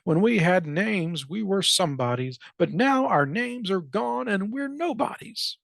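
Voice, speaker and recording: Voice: medium pitch, animated, unhurried, gravelly, no echo, clean studio sound, voice pushed up. A storyteller voicing a fretful, dim, self-important male turkey in a picture book, with exclaiming, complaining, theatrical delivery. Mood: exasperated, know-it-all.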